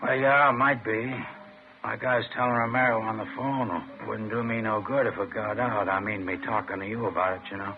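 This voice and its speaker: A man's voice: lowish voice, fairly even intonation, brisk, close to an everyday voice. An actor playing a down-on-his-luck street informant in a 1950s radio drama, talking in colloquial slang. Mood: guarded, confiding. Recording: noisy, tinny vintage radio recording.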